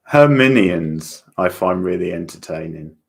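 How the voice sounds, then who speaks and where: Male watchalong host, low and creaky voice, casual and opinionated, talking directly to viewers. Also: lively intonation, unhurried. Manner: opinionated, chatting back to viewers. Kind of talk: casual reactions and commentary on a TV show.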